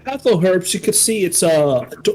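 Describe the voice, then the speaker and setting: A game master narrating a tabletop game, relaxed and descriptive. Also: female voice, lowish voice, moderate pace, soft background music, low-quality recording. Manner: relaxed, descriptive, a few fillers.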